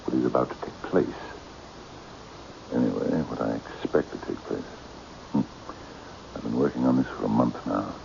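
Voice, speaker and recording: An actor playing a mysterious, courtly and commanding eccentric man with a gravelly, deliberate delivery, in old-time radio mystery style. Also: extremely low pitch, a little mellow, exaggerated voice. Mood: intent, hypnotic. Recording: dull, noisy old radio recording.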